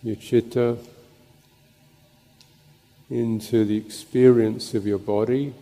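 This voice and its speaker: A man teaching as a Buddhist monk, leading a guided meditation in a contemplative, halting way with pauses between short phrases. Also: mid-range voice, little pitch movement, warm tone. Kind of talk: guided meditation and Dhamma talk. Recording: long reverberant hall echo.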